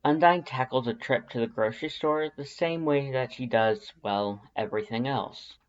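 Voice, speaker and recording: Expressive story narrator, slightly dull recording. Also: medium pitch, normal speed. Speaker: fan fiction narrator.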